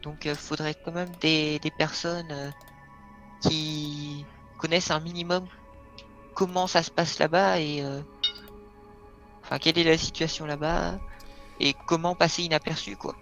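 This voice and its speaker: A man's voice: on the high side, flat delivery, measured pace, long pauses, a bit bright, faint music behind. A man playing a tabletop role-playing game, planning moves aloud with many fillers. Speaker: player. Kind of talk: casual chat planning a game session.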